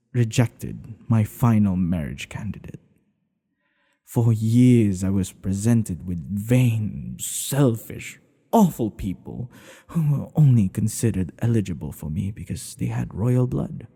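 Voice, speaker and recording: A man playing a tender, devoted king in an intimate ASMR roleplay, speaking in an earnest, hushed, halting way. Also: fairly low pitch, creaky, breathy edge, big-room sound, full character voice. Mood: wistful, disdainful. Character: tender, devoted male monarch hiding a secret lover.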